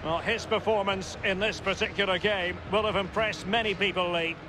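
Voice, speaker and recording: A man's voice: sits high, fairly even intonation, moderate pace, close to an everyday voice. A man playing a polished, keen football commentator on a TV match broadcast. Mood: keen commentary. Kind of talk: TV sports commentary. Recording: loud stadium noise behind the voice.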